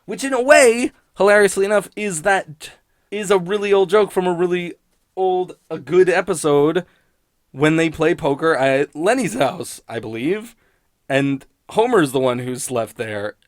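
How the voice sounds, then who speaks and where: A man's voice: sits high, animated, a little slow, dry recording, clean studio sound. A man rambling casually and sardonically in an unscripted review, swearing freely like a podcast critic.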